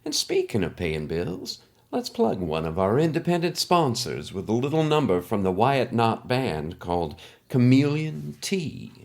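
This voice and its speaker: Guy doing a folksy showman podcast host, gravelly, really animated swoopy delivery, chummy n wry. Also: medium pitch, unhurried, full character voice. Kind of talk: scripted comedic podcast narration.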